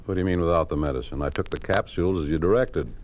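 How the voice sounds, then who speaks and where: A man's voice: sits low, quick pace, a little mellow, slight background noise, lightly acted. An American man playing a smug, officious police chief in a 1950s radio drama, on an old recording.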